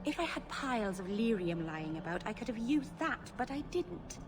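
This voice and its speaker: Earnest, high-voiced young elf mage, lilt. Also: female voice, big pitch swings, normal speed. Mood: earnest, defensive. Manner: earnest, emotional. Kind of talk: video game cutscene acting. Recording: noisy game ambience behind.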